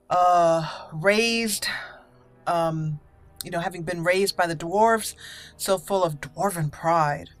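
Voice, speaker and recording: Lower-pitched woman narrating a video game. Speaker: gameplay host. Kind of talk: casual let's play commentary with roleplay storytelling.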